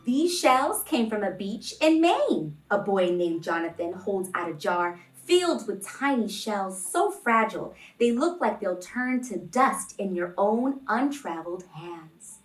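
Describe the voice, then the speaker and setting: A woman hosting a children's read-along, reading expressively and addressing young listeners deliberately as friends. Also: medium pitch, very animated. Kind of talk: expressive picture-book read-aloud and talk to young children. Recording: roomy, distant microphone, music behind.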